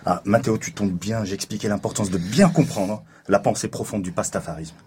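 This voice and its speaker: An actor playing an earnest, idealistic and easily agitated police colleague in a naturalistic audio drama, talking in a wordy way. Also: male voice, on the low side, brisk, a little mellow, slight background noise, natural voice. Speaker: actor in a fiction podcast.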